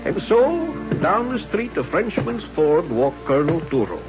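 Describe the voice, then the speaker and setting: High-pitched, lively western storyteller. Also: male voice, measured pace, music in the background. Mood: grave, narrative. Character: folksy western storyteller recalling frontier showdowns. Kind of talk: dramatic radio western narration. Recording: muffled old radio recording.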